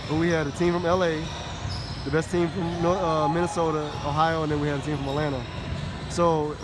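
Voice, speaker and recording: A man's voice: fairly high pitch, level tone, a little fast. An American man interviewing someone in a casual, agreeable way, often affirming what he hears. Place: at a basketball tournament venue. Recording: noisy gym, bouncing balls.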